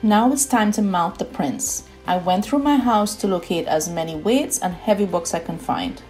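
A woman with a Trinidadian accent narrates a DIY project in a calm, personal voice-over. Her voice sits in a middle register and she explains each step plainly. Light music plays faintly beneath her. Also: mid-range voice, moderate pace, poor audio. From Trinidad and Tobago. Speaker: DIY home decor creator.

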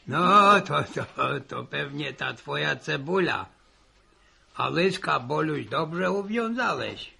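A very creaky old country grandfather with a forceful, bright edge to his voice. He grumbles in a folksy, unhurried way, repeating words as he goes. It is a 1960 radio play with faint noise. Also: fairly high pitch, animated, full character voice. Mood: grumbling. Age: older. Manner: stammering repetitions, folksy sayings. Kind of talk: 1960s radio drama acting.

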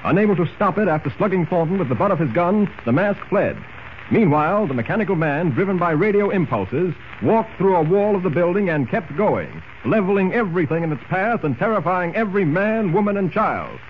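A man's voice: high voice, animated, a little fast. A man narrating a scripted old-time radio serial in a dramatic, urgent storytelling manner. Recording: muffled, hissy old radio recording.

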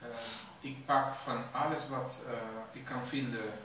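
A middle-aged man, an artist answering interview questions in a halting way, searching for words. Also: medium pitch, steady tone, pushed and bright. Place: indoor art gallery with bare floor. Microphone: camera a few metres away. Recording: echoey room, distant mic, clear background noise.

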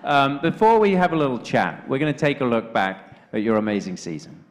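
A man's voice: medium pitch, expressive, brisk, heavy background noise. A man in his thirties hosting an awards night on stage, upbeat and joking with his guest. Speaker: awards host. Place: large banquet hall stage. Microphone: handheld mic, later podium mic. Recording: reverberant hall.

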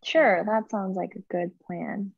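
A young woman talks as a panelist in an online webinar. She explains her points earnestly and reflectively at a medium pitch. The video-call sound is dull, with very little room echo. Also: fairly even intonation, unhurried, creaky, slightly rough.

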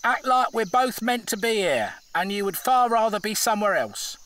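A man's voice: high voice, big pitch swings, normal speed, fairly bright tone, slight background noise. An actor playing a cynical, scheming British tradesman in an audio drama, blunt, dismissive and conspiratorial. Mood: smug reassurance. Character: cynical, scheming tradesman with a grudge against the countryside.

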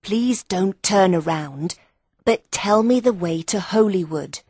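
A man voicing a thin thirteen-year-old disguised as a boy, speaking high and pleading. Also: expressive, slow pace, darkish tone, husky, dry recording, studio quality, exaggerated voice. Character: thin sad boy of thirteen, secretly a girl.

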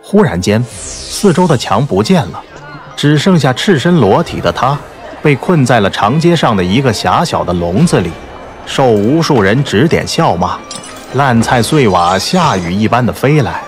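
Guy narrating a romance audiobook, really expressive, soft music under it. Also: medium pitch, normal speed. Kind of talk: scripted dramatic narration of a romance novel.

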